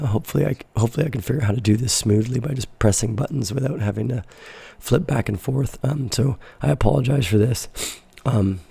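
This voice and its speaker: A man in his sixties, hoarse and deeply gravelly, with a husky, worn-out rasp. He chats live with viewers in a blunt, fiery, swearing manner. His tone is dark and mellow, and the sound is dry and close. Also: no echo. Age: 60s. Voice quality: raspy. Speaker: host of a live crypto trading show. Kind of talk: live-stream chat answering viewer questions.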